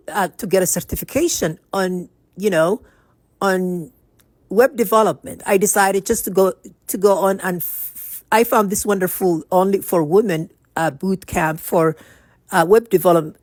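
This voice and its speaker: A woman's voice: lowish voice, no echo. A woman from Somalia giving a personal monologue as a vlogger, speaking emphatically and repeating phrases for stress. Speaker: vlogger telling her own story.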